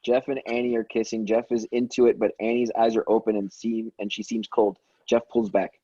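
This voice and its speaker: A man's voice: on the low side, little pitch movement, a little fast, dark tone, slightly rough, dry recording. A man reading screenplay stage directions aloud as scripted narration, on dull lo-fi audio.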